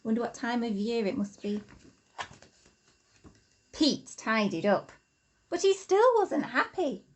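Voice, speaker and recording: A woman, an infant school teacher, reading a rhyming storybook expressively to children with deliberate, sing-song emphasis. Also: a little high, big pitch swings.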